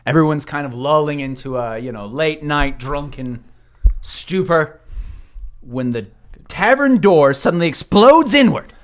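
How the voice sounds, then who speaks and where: A man's voice: fairly high pitch, animated, unhurried. A man running a tabletop game as game master, narrating in a casual, jokey, conversational way.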